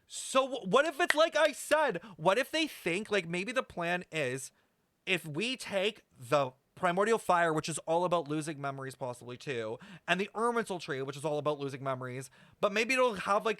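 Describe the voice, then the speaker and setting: A man streaming casual game commentary, talking to chat in an animated, slangy, sweary way. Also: a little high, no echo. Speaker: streamer reacting to a video game. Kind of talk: casual livestream commentary and lore speculation.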